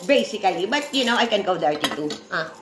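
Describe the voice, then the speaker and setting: A woman's voice: mid-range voice, lively intonation, quick pace, echoey room, noisy background. A woman in her forties from the Philippines with a Filipino accent, chatting loosely to viewers on her livestream, laughing often. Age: 40s. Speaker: livestream host. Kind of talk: casual livestream chat with viewers.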